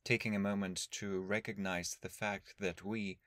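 A man leading a guided meditation as a teacher, speaking in a calm, measured, instructional way with long pauses. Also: fairly low pitch, dry recording, clean studio sound.

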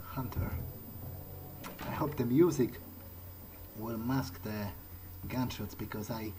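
A man roleplays a game character, talking to himself as he goes. His delivery is hesitant and musing, at a middling pitch. Game ambience sits clearly behind his voice as noise. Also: slow pace. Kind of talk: in-character gameplay commentary.

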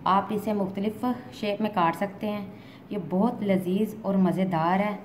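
A woman talks through a recipe at an even, mid-height pitch. She explains each step calmly and plainly, like a home cook. A faint hiss sits under her voice-over. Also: a little slow. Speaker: cooking channel host. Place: home kitchen, hands at the stove.

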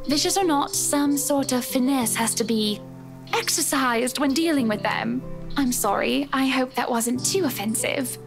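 A woman voicing an elegant, composed married lady in a visual novel game, speaking in a gentle, polished, affectionately teasing way. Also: sits high, unhurried, vocal fry, music in the background. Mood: apologetic. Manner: gentle, polished, terms of endearment. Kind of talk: scripted visual novel voice acting.

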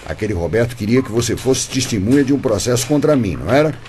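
A man's voice: medium pitch, lively intonation, fast talker, a little mellow, slight background noise, natural voice. An actor playing a manipulative, falsely fatherly Brazilian construction boss in a radio soap, insistent and theatrical. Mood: probing. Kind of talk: radio soap opera acting.

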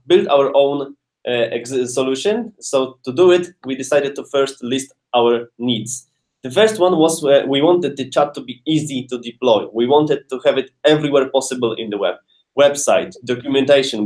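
A man presenting a product in a webinar over a video call, speaking as one of the presenters. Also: medium pitch.

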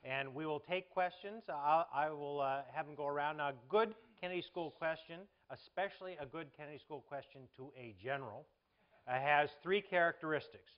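A man's voice: fairly high pitch, brisk, muffled recording. A man moderating a forum, giving an audience orderly spoken instructions. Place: indoor forum stage before an audience.

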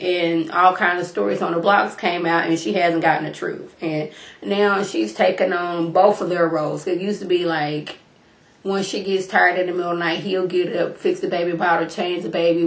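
A young woman, slightly hoarse and congested from being sick, chatting casually to her webcam as she recaps a reality show, calling her viewers y'all. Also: on the low side, echoey room, poor audio. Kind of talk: casual recap talk to camera. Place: small room at home, indoors. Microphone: webcam at desk distance.

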